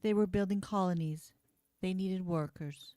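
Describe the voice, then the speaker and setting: A woman's voice: fairly low pitch, moderate pace, warm tone, dry recording, lightly acted. Woman acting an earnest frontier schoolteacher, dry close sound. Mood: somber, explanatory. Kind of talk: amateur radio play acting of a TV script.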